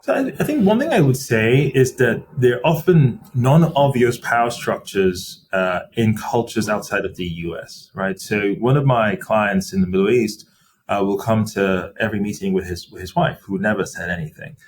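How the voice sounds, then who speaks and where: A middle-aged man, a company finance chief, answering interview questions in a measured, explanatory way with frequent fillers. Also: on the low side, expressive, a little hiss or hum. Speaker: company CFO as podcast guest. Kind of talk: interview conversation.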